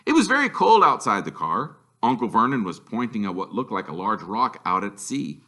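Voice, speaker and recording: Male narrator for a children's novel: expressive, emphatic, forceful bright tone, lively swings in pitch. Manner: expressive, emphatic, with drawn-out words. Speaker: teacher reading aloud. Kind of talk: animated storybook narration.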